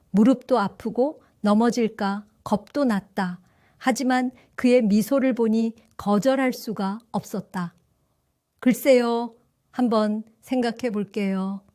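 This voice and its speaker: A woman in her seventies narrating her own story for an audio drama, in a gentle, reflective and wistful way. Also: a little high, no echo, studio quality. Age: 70s. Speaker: first-person narrator.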